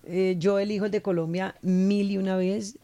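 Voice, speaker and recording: A playful radio host who teases the guest and keeps the talk bouncing. The voice sits at a middle pitch and sounds dry and close in a small studio. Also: little pitch movement, normal speed, mellow, dry recording. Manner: playful, teasing, jokes with the guest. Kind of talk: lively radio hosting.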